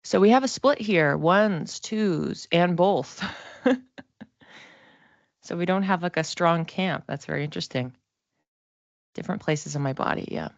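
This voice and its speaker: Casting: female tarot reader, low register, chatty and halting with a giggly streak, slightly muffled lo-fi recording. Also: creaky, no room sound. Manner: giggly, informal, halting and reflective. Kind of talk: casual tarot reading monologue.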